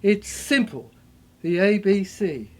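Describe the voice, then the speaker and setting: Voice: mid-range voice, lively intonation, slow pace, a little hiss or hum. A person delivering an intense, rambling monologue in repeated fragments, sometimes stammering and building toward emphatic declarations.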